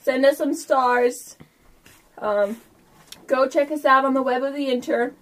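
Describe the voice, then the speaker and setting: A woman's voice: high voice, fairly even intonation, a little slow. A woman hosting a tabletop role-playing game casually as game master, chatty and informal, with a self-deprecating way of talking. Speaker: game master and podcast host.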